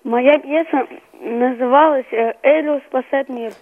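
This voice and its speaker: A man's voice: very high-pitched, steady tone, a little slow. A thirteen-year-old boy giving casual, hesitant answers as a guest in an interview. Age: 13 years old. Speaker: young playwright guest on radio. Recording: muffled narrow-band phone call.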